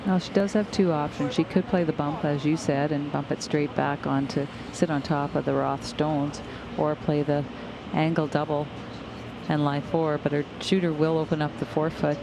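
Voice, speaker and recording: Low-voiced woman doing the analysis, walks through the options, noisy broadcast feed. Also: level tone, normal speed. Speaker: colour commentator. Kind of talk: sports analysis. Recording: broadcast audio with loud background noise.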